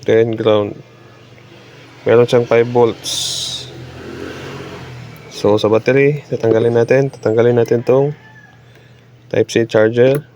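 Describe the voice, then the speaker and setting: A man with a Filipino accent narrating a hands-on repair tutorial casually and haltingly as he works, mixing in English technical terms. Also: mid-range voice, fairly even intonation, dark tone. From Philippines. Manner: halting, talking while working, mixing Tagalog with English terms. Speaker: repair technician demonstrating a fix. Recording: dull lo-fi audio, faint background noise.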